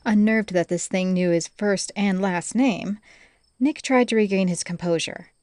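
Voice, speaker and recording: A woman's voice: medium pitch, unhurried, a little mellow, no echo, studio quality. A woman reading scripted fantasy audiobook narration as the storyteller.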